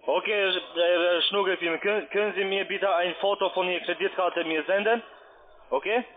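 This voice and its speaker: A man calling on the phone as a scam caller, pushing with halting, repeated questions in non-native grammar. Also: high voice, steady tone, a little hiss or hum. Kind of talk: phone call, pushy questioning. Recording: muffled lo-fi phone line.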